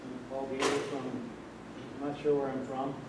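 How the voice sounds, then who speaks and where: A soft-spoken man in his sixties from Vermont, speaking from the floor at a panel discussion in a rambling way with many fillers. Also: mid-range voice, level tone, moderate pace. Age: 60s. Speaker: audience member at a panel. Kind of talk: public comment at a panel discussion. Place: large hall. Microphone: distant room mic. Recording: echoey hall, distant noisy mic.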